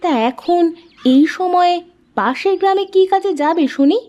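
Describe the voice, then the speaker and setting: Woman playing a high-voiced village housewife. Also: moderate pace, darkish tone, lo-fi sound. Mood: curious, agreeable. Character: caring, inquisitive village housewife. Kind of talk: cartoon dialogue.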